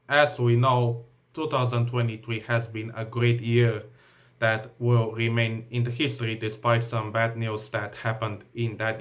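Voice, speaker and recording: A man's voice: medium pitch, fairly bright tone, highs cut off. A man with a Bulgarian accent, English not his first language, reading a list of events from a laptop with frequent ums and uhs. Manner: hesitant, many ums and uhs, restarts while reading. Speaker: vlogger.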